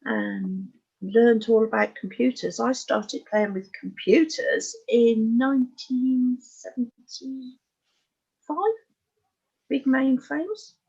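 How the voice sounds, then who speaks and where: Older English woman, sixties, husky voice on slightly dull audio, giving a frank, unpolished personal account as a guest. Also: a little high, steady tone, takes it slowly, darkish tone. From Oxfordshire, England. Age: 60s. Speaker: interview guest. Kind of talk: candid personal life story in conversation.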